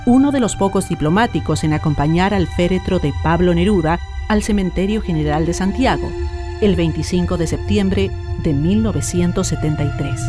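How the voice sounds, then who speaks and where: A woman reading scripted documentary narration in a measured, solemn manner. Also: lowish voice.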